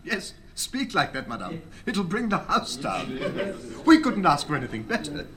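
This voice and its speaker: An actor playing a blustering theatre producer running a rehearsal, bossy, emphatic and easily exasperated. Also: male voice, high voice, sing-song, normal speed, a crowd in the background. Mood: delighted. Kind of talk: stage drama acting.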